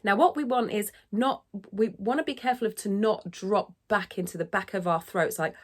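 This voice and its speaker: A woman singing teacher giving an upbeat, encouraging lesson to camera, now and then dipping into vocal fry. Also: medium pitch, moderate pace. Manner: dips into vocal fry when speaking. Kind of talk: instructional talk to camera.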